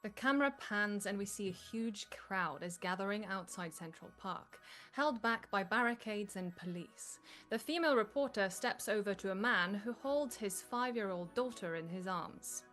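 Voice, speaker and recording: Woman narrator doing script directions, medium pitch, bit of music behind. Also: normal speed, fairly bright tone. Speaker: narrator reading stage directions. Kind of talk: scripted narration at a live table read.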